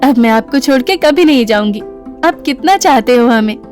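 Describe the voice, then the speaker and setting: A woman's voice: high-pitched, animated, normal speed, darkish tone. An actress playing a meek, devoted young woman in love, speaking tenderly in an audio drama. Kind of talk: Hindi audio drama acting. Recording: music bed behind the voice.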